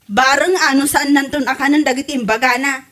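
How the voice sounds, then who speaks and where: A woman playing a gossipy, chatty village neighbour in a comedy audio drama, in an animated manner. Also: high-pitched, brisk. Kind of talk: comedy radio drama acting.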